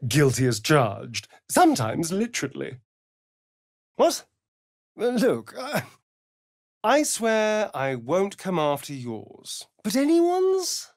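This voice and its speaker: A man's voice: a little high, very animated, slow pace, long pauses, dry recording, studio quality, full character voice. A man playing a sardonic, theatrical male vampire rogue in a video game, with an arch, drawling delivery. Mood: sly, teasing, then agitated. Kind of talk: video game character acting.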